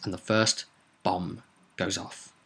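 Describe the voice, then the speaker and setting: Bright, forceful low-pitched man narrating a game. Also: level tone, slow pace, breathy edge. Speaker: game master recapping a tabletop campaign. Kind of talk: informal explanatory narration with dramatic storytelling.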